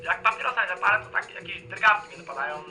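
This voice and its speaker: A man's voice: high-pitched, lively intonation, quick pace, pushed and bright, soft background music, full character voice. A man playing an anxious, eccentric, rambling radio caller in a comic radio play, hesitant and stammering, with folksy colloquial speech. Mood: anxious, complaining.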